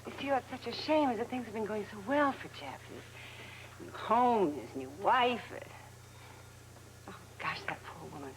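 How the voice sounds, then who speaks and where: A woman's voice: mid-range voice, expressive, a little slow, lightly acted. An actress playing a concerned, sympathetic woman in a television soap opera, speaking in an earnest conversational way. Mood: sad, concerned. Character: concerned, sympathetic woman chatting about family troubles. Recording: clearly noisy television soundtrack.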